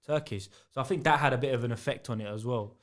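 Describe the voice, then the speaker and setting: A young man with a London accent talks quickly and loosely as a podcast guest. His stories ramble along, full of street slang and restarts, at a middling pitch. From England. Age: young adult. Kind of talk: casual podcast chat.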